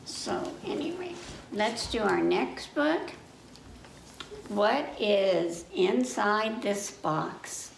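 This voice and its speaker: A woman hosting a children's story time, reading picture books aloud in an animated way with chatty asides and questions to her listeners. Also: medium pitch, extremely slow, creaky, recorded from a distance. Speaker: children's library storytime host. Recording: echoey room, faint background noise.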